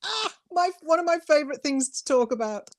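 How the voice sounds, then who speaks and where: A woman co-hosting an inspirational talk show in an effusive, expressive way. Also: high voice, a little fast.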